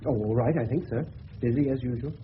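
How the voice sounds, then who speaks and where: An actor playing a respectful, plain-spoken police subordinate in a 1970s radio drama, with an English accent and a slightly hesitant manner. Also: male voice, mid-range voice, fast talker, lightly acted. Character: deferential, matter-of-fact English police subordinate reporting to superior. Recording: thin narrow-band old radio recording, hiss.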